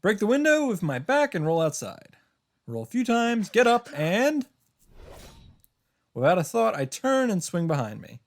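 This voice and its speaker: A man with a slightly high voice reads first-person narration aloud. His pitch moves around in a lively way, and his delivery is theatrical and a little halting, leaning into dramatic emphasis. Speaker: streamer reading a visual novel aloud.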